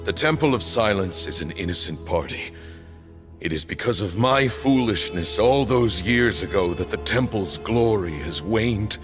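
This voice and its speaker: A man playing a stern, bitter temple leader in a video game, speaking in a formal, grave, measured way. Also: on the low side, expressive. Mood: commanding, resolute. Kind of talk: dramatic video game voice acting. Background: background music.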